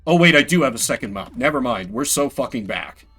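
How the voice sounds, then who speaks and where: A man with a mid-pitched, very animated voice streams a game with faint music behind him. He chats casually and rambles, dropping playful, self-deprecating asides as he goes. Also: fairly quick, husky. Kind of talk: casual live gameplay commentary and chat.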